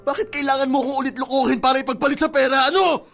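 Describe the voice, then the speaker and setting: A high-voiced young Filipino boyfriend, played by a man, confronts his lover in a radio drama. He is jealous, proud and wounded, accusing and insistent, with soft music behind him. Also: steady tone, quick pace, gravelly, full character voice. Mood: hurt, pleading. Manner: accusing, insistent, punctuating with sharp questions. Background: dramatic background music.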